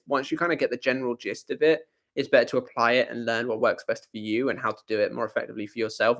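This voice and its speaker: A man's voice: medium pitch, a bit bright. A young man hosting a live Q&A, reading out viewer comments and answering them in a casual, rambling way that often corrects itself. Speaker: host.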